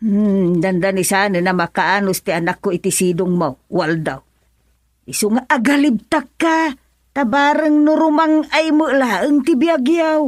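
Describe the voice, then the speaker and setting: A woman's voice: a little high, unhurried. Stern, scolding older mother-in-law. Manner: scolding, reproachful. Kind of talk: Ilocano radio drama acting.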